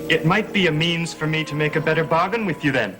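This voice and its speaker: Man acting a sly gothic soap stepson, loud music. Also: on the high side, close to an everyday voice. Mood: sly, composed. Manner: arch, formal, measured taunting. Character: sly, composed stepson needling his stepfather, gothic soap. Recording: old TV soundtrack under loud music.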